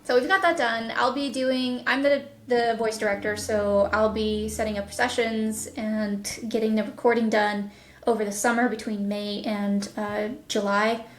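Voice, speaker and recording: A woman with a fairly high voice talks casually as an interview guest. She sounds like she is in an echoey room, explaining things in a conversational way as a production's voice director. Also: steady tone, moderate pace.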